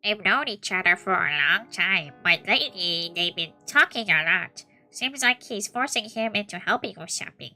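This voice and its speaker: A woman's voice: mid-range voice, animated, normal speed, hardly any pauses, sharp tone. A woman voicing a polite, eager young man in a visual novel read-aloud. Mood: polite, composed. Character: polite, unruffled young male caller, apologetic messenger. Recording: music behind the voice.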